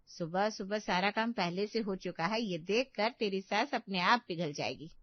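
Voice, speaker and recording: A woman's voice: medium pitch, normal speed, no echo, muffled recording, close to an everyday voice. A woman voicing a scheming, encouraging middle-aged mother who advises her daughter in a story. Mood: advising.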